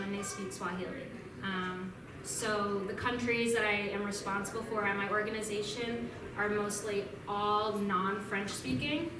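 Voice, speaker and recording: A young woman from Vermont giving a casual talk and answering questions, chatty and upbeat, with frequent ums. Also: mid-range voice. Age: young adult. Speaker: guest speaker at a club meeting. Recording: echoey room from a distance, noisy.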